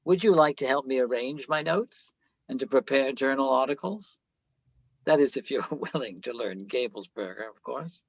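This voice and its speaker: An actor playing an older, self-absorbed philosophy professor in a radio play, lecturing pompously and haltingly. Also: male voice, mid-range voice, lively intonation, slightly rough, dry recording, highs cut off. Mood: hopeful.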